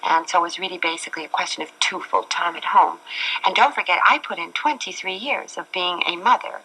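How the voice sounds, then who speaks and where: A woman's voice: fairly low pitch, fairly quick, pushed and bright, husky, low-quality recording. A woman in her forties from Chile, a talk show guest speaking earnestly and at length about her own life, recorded on an old TV studio set. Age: 40s. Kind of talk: candid personal talk.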